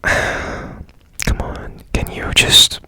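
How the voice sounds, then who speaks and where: A man acts out a strange, curious robot repairman in an ASMR roleplay. He speaks in a slow, breathy whisper with crisp, bright edges. His gentle, soothing murmurs rise and dip freely as he works. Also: full character voice. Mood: puzzled, wistful.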